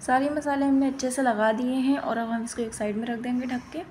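A woman's voice: sits high, fairly even intonation, a little fast, darkish tone, slight background noise. A woman giving plain-spoken, step-by-step instructions in a recipe voice-over as host of a cooking channel.

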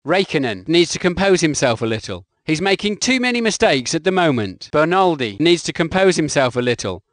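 Lively, high-voiced race commentator. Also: male voice, no echo, clean studio sound. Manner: measured, matter-of-fact analysis. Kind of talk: recorded game commentary lines.

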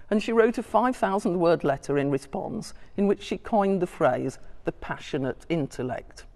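An older woman giving a scholarly talk from her notes, measured, with small hesitations and asides. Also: low voice, darkish tone. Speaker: lecturer. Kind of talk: public talk reading from notes. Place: large church interior. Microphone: distant room mic. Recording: long church reverb.

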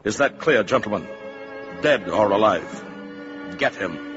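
Stern, low-voiced army general. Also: male voice, lively intonation, unhurried, loud music behind the voice. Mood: stern, resolute. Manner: authoritative, clipped formal address. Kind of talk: 1950s radio acting. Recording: dull, slightly noisy 1950s radio.

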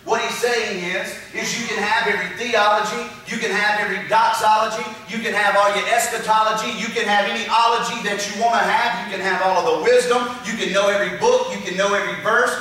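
High, bright-voiced preacher in an echoey hall. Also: male voice. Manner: emphatic, repetitive preaching with long rhetorical lists. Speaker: pastor. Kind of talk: sermon. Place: dark church stage with projection screen. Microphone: handheld mic, filmed from a far camera. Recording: echoey church hall from far off.

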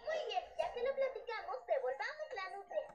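An earnest little cartoon superhero girl, kind and sensible. Her voice is piercingly high. It echoes off a TV speaker in a room, recorded by phone with music behind. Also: big pitch swings, normal speed, muffled recording. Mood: reassuring. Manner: earnest and reassuring.

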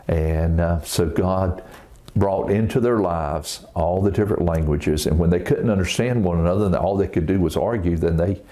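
Older preacher telling a children's story. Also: male voice, fairly low pitch, dark tone. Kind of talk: read-aloud storytelling with informal asides.

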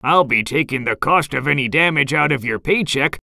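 A woman doing a gruff, blustery crab boss. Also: very deep voice, lively intonation, moderate pace, pushed and bright, no room sound, studio quality, heavily acted. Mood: bossy. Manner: piratical, gruff, bellowing. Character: blustery, money-loving old male crab restaurant boss. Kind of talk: children's storybook character voice.

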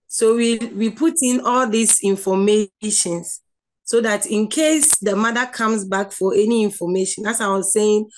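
A woman with a Ghanaian accent giving an online lecture over slides, explaining slowly with many restarts and filler sounds. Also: medium pitch.

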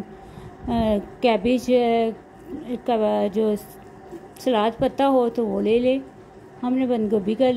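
A woman's voice: on the high side, dark tone, poor audio. A woman narrating a recipe step by step as a cooking vlog host, in a homemade recording. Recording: clear background noise, homemade recording.

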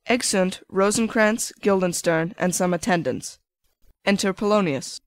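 A woman's voice: medium pitch, measured pace, no room sound. A woman narrator reading out stage directions in a scripted style.

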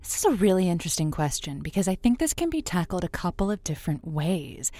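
A woman's voice: on the low side, very animated, quick pace, mellow, no echo. An actress playing a wry, feisty woman who hosts a late-night radio advice show, playful and musing in manner. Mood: welcoming, curious. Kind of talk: fiction podcast acting as late-night radio monologue.

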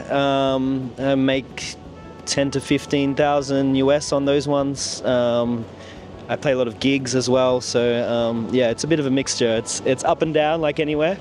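A man's voice: medium pitch, slight background noise. Laid-back man on a beach. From Australia. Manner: relaxed, thinking aloud with many "um"s. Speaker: interviewee, DJ. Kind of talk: casual street interview. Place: outdoors on a beach. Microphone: handheld mic held by interviewer.

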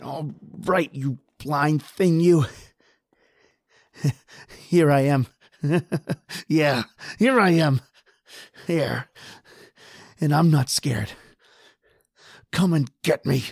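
A man's voice: on the high side, drawn-out words, creaky, long pauses, a little mellow, slightly rough, no room sound, studio quality, full character voice. A man playing a desperate, injured husband trapped underground in a horror radio drama. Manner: pleading, ragged, then defiant.

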